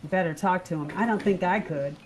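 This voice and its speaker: A woman's voice: lowish voice, a little fast, darkish tone, lightly acted. A woman playing a sly, inquisitive office colleague in an audio drama, arch and probing.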